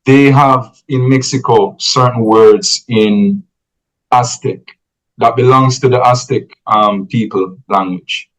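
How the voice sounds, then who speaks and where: A man's voice: medium pitch, a bit bright, rough recording. Man, guest on a podcast; takes his time, mid-range voice, explains patiently and keeps checking in with the listener. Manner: measured and explanatory, often ends points with "right?". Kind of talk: conversational advice-giving.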